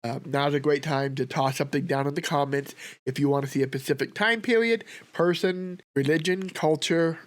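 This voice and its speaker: A man with a sore throat from a cold, hosting a history reaction with relaxed, rambling commentary. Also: a little high, normal speed. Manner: relaxed, rambling, thinks aloud with fillers. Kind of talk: casual commentary.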